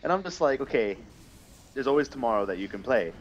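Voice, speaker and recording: A man's voice: mid-range voice, lively intonation, fast, highs cut off, a little hiss or hum. A young adult man chatting casually while gaming, griping and rambling with plenty of swearing. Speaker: gamer telling stories while playing. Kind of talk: casual chat during online gameplay.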